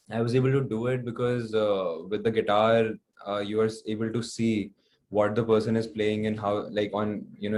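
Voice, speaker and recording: A man's voice: on the low side, a little mellow. A young man with an Indian accent answering interview questions casually, thinking aloud in hesitant, loosely phrased sentences. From New Delhi, India. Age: young adult. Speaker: guest, singer-songwriter. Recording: clearly noisy background.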